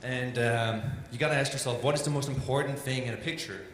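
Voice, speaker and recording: A Danish-accented man presents an informal talk in English, chatty and self-deprecating, padding his sentences with "like" and "um". His voice carries a long reverb, as if he were in a large hall. A steady background hiss sits under everything. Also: mid-range voice, brisk. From Denmark. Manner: loose and chatty, many "like" and "um" fillers, self-deprecating.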